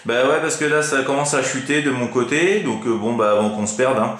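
A man chats casually as a radio operator. His voice sits at a middle pitch and his talk is colloquial. Heavy echo surrounds every word. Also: a little fast, a bit bright. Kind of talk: casual radio contact chat. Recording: very echoey radio microphone sound.

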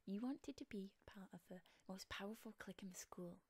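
Young woman doing a snotty popular high school girl, soft asmr roleplay, half whispering, sarcastic, bossy, normal pitch. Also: brisk, breathy edge, dry recording. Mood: smug, dismissive. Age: young adult. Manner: haughty, sarcastic, often dropping into whispers. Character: mean, domineering popular high-school girl, queen bee.

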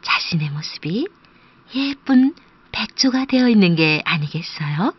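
A woman narrating a scripted fairy tale for young children in an expressive storytelling manner. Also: fairly low pitch, measured pace, breathy edge, no echo, muffled recording.

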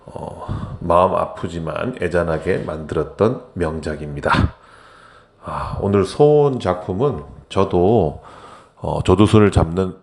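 A middle-aged man, casually retelling a film he acted in, unhurried with frequent hesitant pauses. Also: sits low, a little mellow. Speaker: film narrator and actor. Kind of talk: casual spoken film recap.

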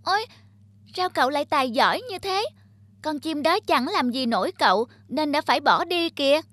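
A woman playing a small, naive, excitable caterpillar in a cartoon fairy tale, exclaiming in a childlike way. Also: high-pitched, moderate pace, dry recording. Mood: admiring, wishful. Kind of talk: animated fairy-tale dubbing. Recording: music playing behind the voice.

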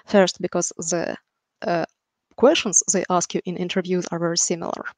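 A woman in her thirties speaks with a Ukrainian accent in a slightly low voice. She is an interview guest telling her story in a measured, drily humorous way, into a headset with very little room sound. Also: steady tone, no room sound. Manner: dry understated humour. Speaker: podcast guest, software engineer. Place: room at home, video call. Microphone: headset with boom mic.

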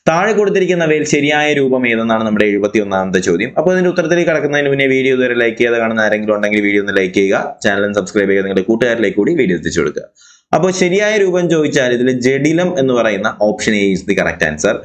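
A man's voice: mid-range voice, big pitch swings, quick pace. A male exam tutor, talking through answer keys in a chatty, explanatory way with rhetorical questions. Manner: mixes English answer phrases into explanations. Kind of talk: answer key walkthrough.

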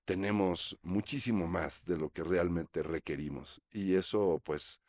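Low-voiced Mexican man, dry studio sound. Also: a little slow, darkish tone, no echo. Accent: Mexican Spanish. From Mexico City. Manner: reflective, gestures while talking, frequent fillers. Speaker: actor guest. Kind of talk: relaxed storytelling interview. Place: small studio set. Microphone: studio mic on boom arm.

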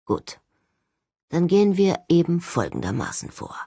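Audiobook role: stubborn young male ghost-hunter chief acted out by a narrator, decisive, husky, lively delivery. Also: mid-range voice, a little slow, dry recording, clean studio sound, heavily acted. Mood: quiet, resolute. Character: daring, stubborn young male ghost-hunting team leader.

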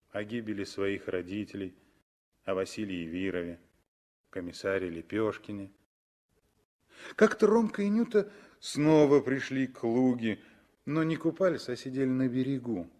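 A man's voice: on the low side, darkish tone. A man narrating a story in the scripted style of 1960s Soviet radio drama.

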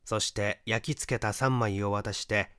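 A man reading a mystery story as a narrator, in a measured, dramatic literary storytelling manner. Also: lowish voice, steady tone, bright, forceful tone, clean tone, dry recording, studio quality. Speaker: audiobook narrator, stage actor.

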